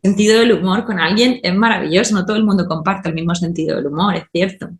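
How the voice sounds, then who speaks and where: A woman's voice: on the low side, fast. Woman explaining on a podcast, echoey room. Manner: explains step by step, often checks in with "¿vale?". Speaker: podcast host and teacher.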